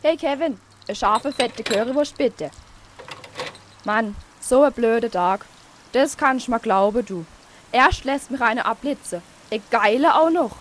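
A woman's voice: a little high, animated, a little slow, a little hiss or hum. A woman playing a brash, wounded male would-be gangster rapper with a Swabian accent and pushy, slangy talk in a radio play. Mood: boastful, frustrated. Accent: Swabian German.

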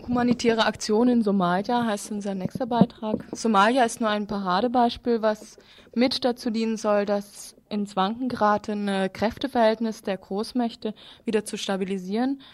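Mid-pitched woman reading radio news. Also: steady tone, moderate pace, no echo.

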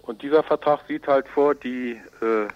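A man's voice: mid-range voice, steady tone, normal speed, no room sound, lo-fi sound. A man giving explanatory interview answers in formal, legalistic wording, hesitating often. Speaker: newspaper representative being interviewed.

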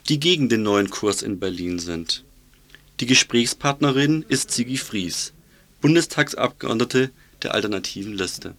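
Male radio reporter and interviewer, mid-pitched voice, scripted news delivery, dry studio sound. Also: fairly bright tone, no room sound.

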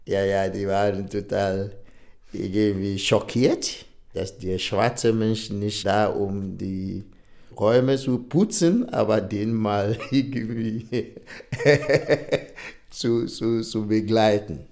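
A man with a Nigerian accent speaking spontaneously in an interview, halting as he searches for words. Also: fairly low pitch, a little mellow. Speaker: association chairman and diversity trainer.

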